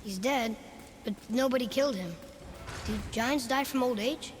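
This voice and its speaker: A man's voice: very high-pitched, moderate pace. A young male actor playing an earnest, curious, hopeful boy in a video game. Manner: eager, inquisitive, plain-spoken. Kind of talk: video game character acting. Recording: clear background noise with clicks.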